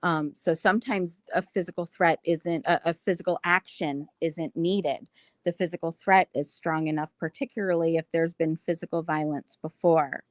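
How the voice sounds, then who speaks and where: Woman panelist, earnest and measured, lower pitch, dry recording. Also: steady tone, dry recording. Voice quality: self-described naturally high-pitched voice. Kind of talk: panel discussion.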